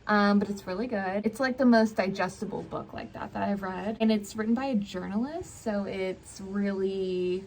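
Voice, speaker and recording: Young woman, noisy background, casual book chat, hedging informal delivery, medium pitch. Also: normal speed, few pauses, darkish tone. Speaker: book vlogger. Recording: clear background noise.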